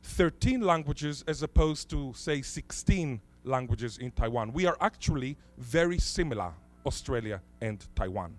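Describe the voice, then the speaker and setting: A man whose first language is Hebrew, giving a conference talk in a lecture hall, posing rhetorical questions and stressing key words deliberately. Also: medium pitch, lively intonation. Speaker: conference speaker.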